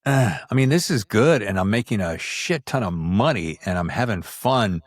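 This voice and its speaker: A man with a lowish voice hosts a casual interview show. He talks informally, with a bantering, chatty way about him. The sound is very dry, with no room on it. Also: animated, normal speed, dry recording. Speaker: podcast host. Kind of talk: casual conversational book interview.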